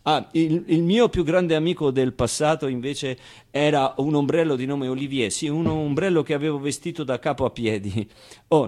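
Guy acting streetwise orphan grown up, theatre monologue, wistful but clowny, bit high voice, very expressive. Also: natural voice. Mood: playful, animated. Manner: expressive, clownish, with pauses and repeated phrases. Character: Momo, wistful streetwise man recalling his orphaned Paris boyhood. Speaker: stage actor. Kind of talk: theatrical monologue, colloquial first-person storytelling.

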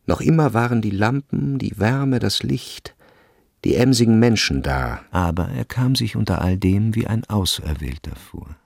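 Low, gravelly male radio drama narrator. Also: animated, normal speed, a little mellow, no room sound, clean studio sound. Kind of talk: scripted literary narration.

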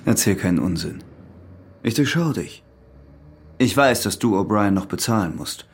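Guarded, husky ex-convict. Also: male voice, fairly low pitch, animated, moderate pace. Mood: defiant. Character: guarded, evasive male ex-convict husband under suspicion. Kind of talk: radio crime drama acting.

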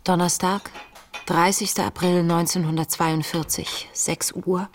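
An actress playing an earnest, searching woman in her late twenties, reading her wartime diary in a breathy, introspective, measured way for a radio play. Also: fairly low pitch, level tone, slight background noise, close to an everyday voice. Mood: reflective. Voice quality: breathy, often dropping toward a whisper. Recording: music under the voice.

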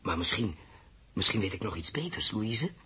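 An actor playing a troubled, indulgent man with a husky voice and a hesitant, musing manner, in 1960s Dutch radio drama style. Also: on the low side, big pitch swings, moderate pace, noisy background. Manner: hesitant, musing, thinking aloud. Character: troubled, indulgent grown man humouring a little girl.

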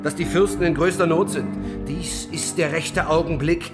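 A fervent rebel preacher played by a man declaims in a radio play. His high voice is forceful and exalted, ringing with echo over loud music. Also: steady tone, moderate pace, lightly acted. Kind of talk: declamatory radio drama acting. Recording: echoey, loud music behind.

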